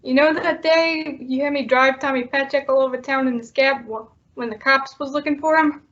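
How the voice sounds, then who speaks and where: A woman's voice: high-pitched, steady tone, normal speed, fairly bright tone. A woman playing a simple, loyal male sidekick with a hesitant, slangy, deferential manner in an old-time radio drama. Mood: bashful. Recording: dull, muffled lo-fi audio.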